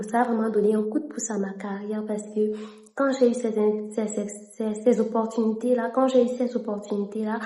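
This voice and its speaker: A woman's voice: mid-range voice, dark tone. A young woman from Cameroon, a French native speaker, telling her story to camera in a casual vlog and slipping into English mid-sentence. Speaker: vlogger and interpreter. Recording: echoey room.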